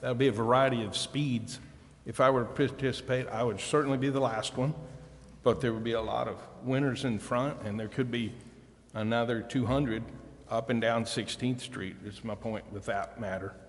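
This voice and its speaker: A man's voice: mid-range voice, fairly even intonation, normal speed. A man speaking informally as a councilman in a meeting, thinking aloud as he questions and comments. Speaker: city councilman. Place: large indoor council chamber. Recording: reverberant hall, faint background noise.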